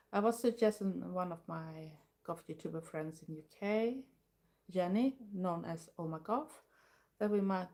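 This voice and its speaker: A Swedish woman, a native Swedish speaker and older goth streamer, chatting casually in English with a Swedish accent, pausing often while she waits for her live chat. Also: mid-range voice. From Sweden. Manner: relaxed, chatty, pauses often to read the chat.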